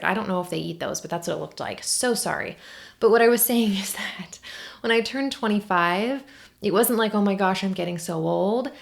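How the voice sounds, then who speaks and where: Young woman in her twenties, casual solo podcast host, chatty and candid, a touch of vocal fry. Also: medium pitch. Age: 20s. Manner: chatty and candid, lots of "like" and "oh my gosh" asides. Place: at home by a window.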